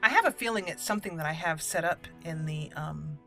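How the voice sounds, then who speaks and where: A woman's voice: low voice, animated, fairly quick, gravelly, a bit bright. A woman giving casual, playful let's play commentary on a game, thinking aloud as she goes. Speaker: gaming channel host and player. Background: game music.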